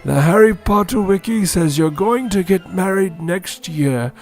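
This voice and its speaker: A man's voice: high-pitched, animated, measured pace, soft background music. A man voicing a forgetful, pompous old wizard headmaster in a comic audio story. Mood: breezy.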